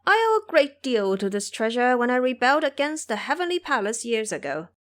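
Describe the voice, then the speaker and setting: A brash magical monkey king from an audio drama, acted out by a woman. He is boastful and commanding, throwing his voice up high with very animated, exuberant swings in pitch. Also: brisk. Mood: proud. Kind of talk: audio drama character acting.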